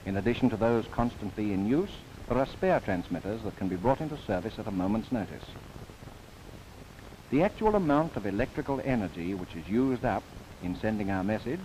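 A man narrating a scripted 1930s documentary film in a formal, measured, earnest manner. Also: fairly low pitch, big pitch swings, mellow. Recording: noisy 1930s film soundtrack.

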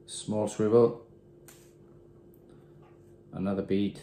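A man in his thirties from Wales, calmly talking through tying a fishing rig at a desk. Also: lowish voice, extremely slow. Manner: calm, plain, talking while tying knots. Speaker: angler demonstrating a rig. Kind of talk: casual step-by-step tutorial. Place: small indoor room with windows. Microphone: phone camera on the desk. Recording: echoey room.

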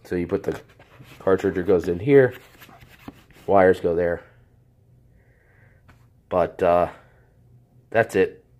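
A man with a hoarse voice from a cold, casually reviewing a product off camera while he handles it, rambling and correcting himself. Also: deep voice, slow, long pauses, a little mellow. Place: indoors at a table. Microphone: handheld camera, off-screen speaker.

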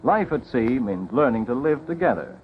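A man's voice: medium pitch, sing-song, normal speed, a little hiss or hum. A man reading scripted wartime documentary narration in a dramatic, solemn 1940s newsreel manner. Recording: dull 1940s film soundtrack.

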